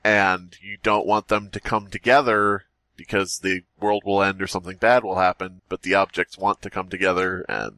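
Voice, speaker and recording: A man's voice: deep voice, level tone, normal speed, sharp tone, no room sound. A man hosting a podcast, mixing casual comedic chat with reading horror stories aloud, joking, swearing and stumbling over words. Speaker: podcast host.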